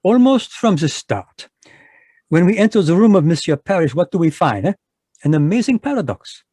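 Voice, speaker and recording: A man's voice: sits high, very animated, normal speed, warm tone, husky, no echo, clean studio sound, heavily acted. A man voicing a smug Belgian detective with a put-on French accent in an old-time radio play, pompous and polite. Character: fussy middle-aged Belgian male detective, smug. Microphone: video call microphone.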